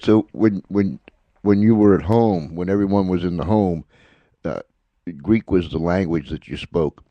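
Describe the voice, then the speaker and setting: A man hosting a casual interview, asking questions haltingly and repeating words as he goes. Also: low voice, dry recording, highs cut off. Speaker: radio show host, interviewer.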